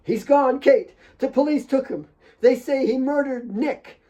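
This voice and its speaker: A man's voice: very high-pitched, measured pace, mellow, voice pushed up. A man voicing a small, feisty woman who pleads in short, insistent sentences in an audiobook. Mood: insistent, distressed.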